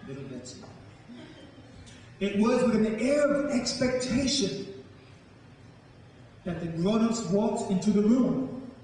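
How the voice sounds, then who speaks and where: A man speaking as a poet and storyteller to a live audience, with a deliberate, dramatic delivery. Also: sits high, lively intonation, a little mellow. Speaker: spoken word poet and storyteller. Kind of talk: dramatic poetry reading and talk to a live audience. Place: large echoing room with a live audience. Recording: echoey hall, distant mic, faint noise.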